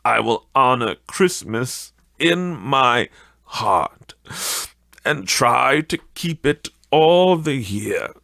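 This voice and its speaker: A man's voice: medium pitch, lively intonation, gravelly, pushed and bright, no room sound, studio quality, pitched above the performer's own voice, exaggerated voice. A man playing a frightened, repentant old miser in a storybook reading, pleading fervently and drawing out his words. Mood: fervent, imploring. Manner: pleading, fervent, drawn-out delivery. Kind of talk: dramatic audiobook character acting.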